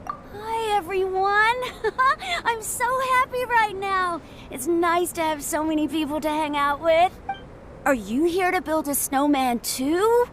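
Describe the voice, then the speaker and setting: A woman's voice: very high voice, slow, a bit bright, slight background noise, heavily acted. A woman voicing a sweet, polite, slightly shy little boy in a video game. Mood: happy.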